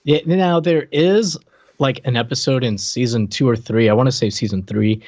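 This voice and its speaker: A man co-hosting a podcast, chatting casually with halting, repetitive, slangy phrasing. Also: mid-range voice, darkish tone, no echo. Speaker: podcast co-host. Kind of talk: casual chat about a reality TV show.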